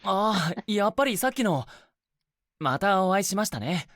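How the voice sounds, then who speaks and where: A voice actor playing a friendly, mild young man in a visual novel, courteous and gentle. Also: sits high, very animated, fast talker, no room sound, clean studio sound. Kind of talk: Japanese visual novel acting.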